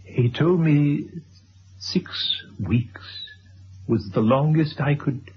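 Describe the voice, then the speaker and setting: An actor playing a courteous, resolute former schoolmaster in a vintage radio drama, formal and measured. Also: male voice, on the high side. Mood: grave, resigned. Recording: dull, faintly noisy old radio recording.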